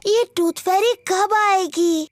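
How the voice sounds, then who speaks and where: A woman's voice: extremely high pitch, slow. A woman voicing a cheerful, bossy little girl pig, an eager big sister in a children's cartoon. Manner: bossy, matter-of-fact, childlike. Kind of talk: cartoon dubbing.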